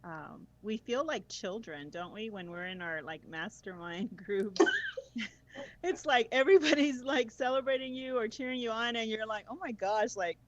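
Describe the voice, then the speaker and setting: A woman's voice: fairly high pitch, normal speed, dull audio, noisy background. A woman guest chatting casually about tutoring, enthusiastic, laughing often and using many fillers. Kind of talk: casual conversational chat.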